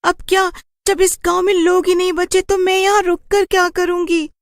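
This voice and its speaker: A man voicing a very high, shrill village witch. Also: flat delivery, normal speed, a bit bright, no room sound. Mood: dismayed. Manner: shrill, exclaiming, questioning. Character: village witch, loud, astonished and gullible woman. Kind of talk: cartoon horror story acting.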